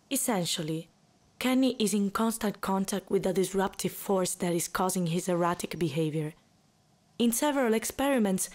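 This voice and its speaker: A matter-of-fact female doctor, played by an actress in an audio drama. She explains precisely and calmly in a medium-pitched voice. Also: natural voice. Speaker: doctor, genetics specialist.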